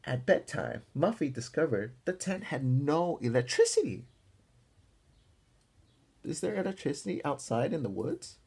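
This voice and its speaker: Slow, animated male children's read-aloud teacher. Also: fairly high pitch, vocal fry, breathy edge. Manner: expressive, pausing to explain and ask simple questions. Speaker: teacher reading a picture book aloud to young children. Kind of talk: animated read-aloud with questions to young listeners.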